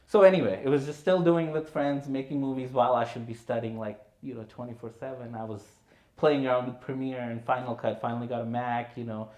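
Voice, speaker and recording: A man, a filmmaker guest on a podcast, telling his story in a relaxed way full of "you know" and "right". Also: mid-range voice, brisk, echoey room. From India. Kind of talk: conversational podcast interview.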